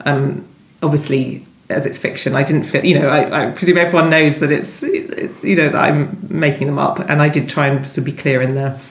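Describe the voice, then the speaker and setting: A woman's voice: low voice, fairly even intonation, a little fast. A woman novelist being interviewed, talking conversationally and thinking aloud, often hesitating and rephrasing. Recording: roomy, echoey sound.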